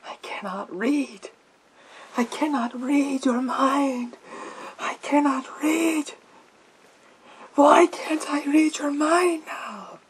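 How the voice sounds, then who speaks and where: A man playing a menacing, theatrical psychic warrior in a breathy whisper for ASMR roleplay, speaking slowly through a gas mask. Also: very high-pitched, full character voice. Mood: baffled, desperate. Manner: slow, drawn-out, theatrical delivery. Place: small room lined with bookshelves. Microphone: speaking through a gas mask, close to camera.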